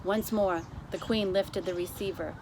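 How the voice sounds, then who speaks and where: A woman's voice: mid-range voice, fairly even intonation, moderate pace, a little mellow, slight background noise. A woman reading a children's book aloud expressively as the narrator. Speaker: storybook reader.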